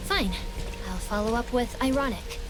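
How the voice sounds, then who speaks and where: A woman's voice: a little high, moderate pace, music in the background, close to an everyday voice. A woman playing a polite, bookish young female companion in an anime-style game, speaking in careful, formal phrases. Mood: composed.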